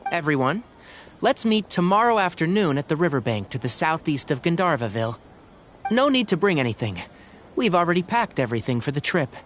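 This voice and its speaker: High-pitched, polite young forest ranger. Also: male voice, big pitch swings, brisk, fairly bright tone, a little hiss or hum. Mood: friendly. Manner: courteous, articulate. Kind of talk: video game voice acting.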